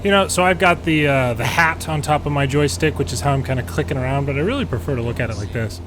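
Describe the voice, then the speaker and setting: Sardonic American man over clear background noise. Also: medium pitch, fast talker. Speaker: gaming video host, pilot and former air traffic controller. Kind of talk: casual game commentary. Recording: steady background hum and noise.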